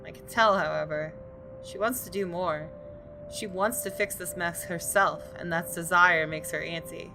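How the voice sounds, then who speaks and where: Low-pitched woman narrating over music. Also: fairly even intonation, normal speed, gravelly, rough recording. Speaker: gaming video host reading the story. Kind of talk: expressive scripted narration. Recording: music playing behind.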